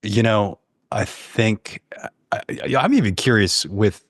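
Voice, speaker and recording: A man's voice: fairly low pitch, lively intonation, slow, husky, dry recording, studio quality. A man speaking as a podcast guest in a casual, conversational way. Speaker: podcast guest, horror fiction writer. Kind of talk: casual chat.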